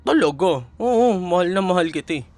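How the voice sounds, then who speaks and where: A man's voice: high-pitched, lively intonation, normal speed, darkish tone. A man playing a young Filipino boyfriend, tender and proud, in an intimate audio roleplay, with a Filipino accent. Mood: playful, affectionate. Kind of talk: ASMR boyfriend roleplay drama.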